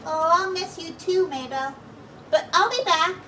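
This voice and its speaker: Through a noisy, distant recording comes a woman playing an eager young male bird from a storybook. He is high-pitched and helpful, bursting into exclamations and tripping over his words when keen. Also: measured pace, sharp tone, full character voice. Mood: fond, reluctant.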